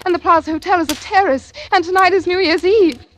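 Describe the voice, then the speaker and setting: Young radio-drama heroine, very high pitch, pleading and earnest, dramatic, vintage noise. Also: female voice, fast. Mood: anxious resolve. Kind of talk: 1940s radio drama acting. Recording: noisy vintage radio recording with clicks.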